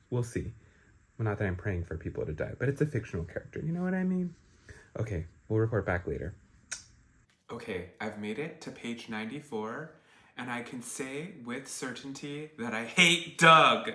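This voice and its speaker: A man talking casually as a book vlogger, reacting in an animated, slangy, emphatic way. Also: medium pitch. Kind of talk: casual live reading-reaction vlog.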